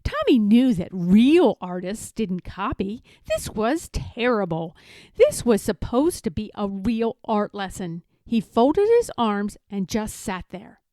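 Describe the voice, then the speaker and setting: A woman narrating a children's storybook aloud in a measured, expressive manner. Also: mid-range voice, dry recording, clean studio sound. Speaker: storybook reader.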